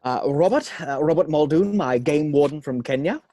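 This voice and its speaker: A man playing a jovial, boastful older theme park owner in a script table read, with theatrical, halting delivery. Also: a little high, dark tone, no echo, lightly acted. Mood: reassuring.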